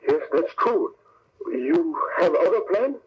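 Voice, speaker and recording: A man's voice: high-pitched, animated, slow pace. A man playing a loyal, deferential sidekick valet in an old radio drama, speaking clipped broken English. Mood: curious, deferential. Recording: muffled old radio recording, faint hiss.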